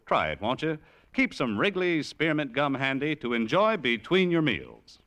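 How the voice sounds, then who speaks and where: A man reading announcements in a smooth, polished style as a vintage radio announcer. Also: medium pitch, big pitch swings, moderate pace, fairly bright tone. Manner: smooth, polished, emphatic delivery. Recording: vintage radio broadcast with music.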